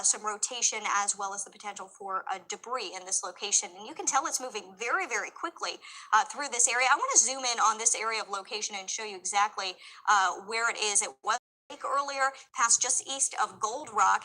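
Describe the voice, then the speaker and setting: A woman giving live severe weather commentary as a TV forecaster, explaining carefully and in a measured way. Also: a little high, pushed and bright, poor audio.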